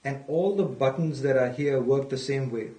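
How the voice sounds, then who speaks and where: A man's voice: mid-range voice, normal speed, warm tone. A man presenting a product demonstration, explaining step by step in a methodical, instructional way and repeating key points. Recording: roomy echo, distant microphone.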